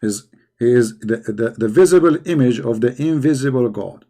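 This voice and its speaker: A man with a gravelly, creaky voice at an ordinary middle pitch hosts a live debate stream. He answers viewers in a combative, mocking way and often repeats words while he gropes for the next phrase. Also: expressive, normal speed, dark tone. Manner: combative and mocking toward questioners. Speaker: religious debate host.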